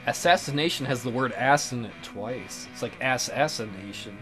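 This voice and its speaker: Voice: mid-range voice, normal speed, music in the background, low-quality recording. A let's play co-commentator making casual, wry and teasing jokes alongside a game.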